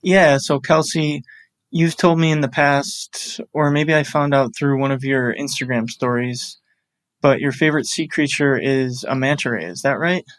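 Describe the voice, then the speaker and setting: A man on a podcast team, speaking in a measured, reflective way in a casual chat. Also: medium pitch, a bit bright, dry recording.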